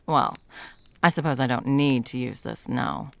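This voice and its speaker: A woman playing a menacing, scornful monster hunter in an ASMR roleplay, speaking in a creaky, deliberate, dramatic way. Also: very deep voice, no echo, full character voice. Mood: menacing, taunting.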